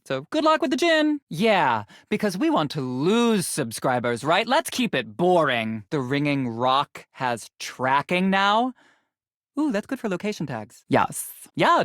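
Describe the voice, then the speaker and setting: A woman's voice: lowish voice, no room sound, studio quality. A woman voicing a vain, snarky young female influencer character in a video game, self-absorbed and full of social media speak. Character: vain sassy young female influencer lamp, fame-obsessed.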